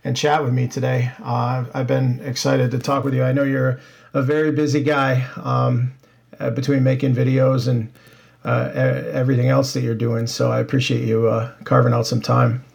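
Halting, gravelly-voiced male podcast host. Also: mid-range voice, little pitch movement, a little mellow. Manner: friendly, halting with many fillers. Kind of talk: casual interview.

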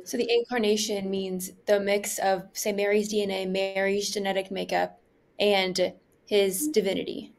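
A woman's voice: medium pitch, flat delivery, moderate pace, gravelly. A young woman co-hosting a podcast in casual chat, asking questions tentatively and searching for her words. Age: young adult.

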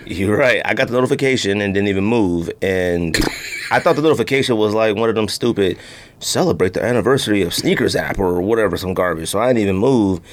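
A man's voice: on the low side, fast, a little hiss or hum. A man co-hosting a podcast, bantering casually about sneakers in slangy, storytelling talk. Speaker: podcast co-host.

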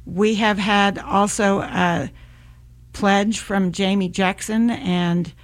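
A woman's voice: medium pitch, fairly even intonation, takes it slowly. An American woman hosting a community radio fundraiser, thanking donors and reading out the pledge number. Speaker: radio host.

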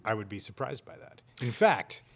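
A man's voice: on the low side, very animated, moderate pace. A man chatting casually as a podcast interviewer. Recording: clear background ambient noise.

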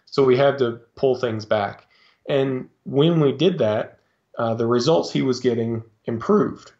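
A man talking as a guest on a podcast, explaining his coaching in a halting way with lots of fillers and restarts. His voice sits in a middle register, and the recording sounds a little dull and lo-fi. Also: medium pitch, darkish tone. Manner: hesitant, many fillers and restarts. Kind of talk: casual interview talk.

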